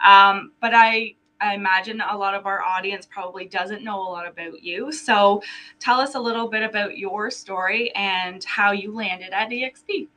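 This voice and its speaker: Bright, upbeat woman hosting. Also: mid-range voice, moderate pace, poor audio. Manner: upbeat, encouraging, uses fillers. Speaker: host. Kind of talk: casual interview hosting.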